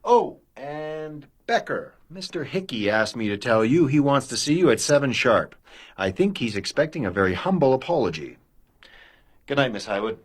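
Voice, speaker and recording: A wry, animated detective's pal. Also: male voice, mid-range voice, normal speed, slightly rough. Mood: wry, matter-of-fact. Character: plain-spoken, wry male friend of a detective. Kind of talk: radio crime drama acting.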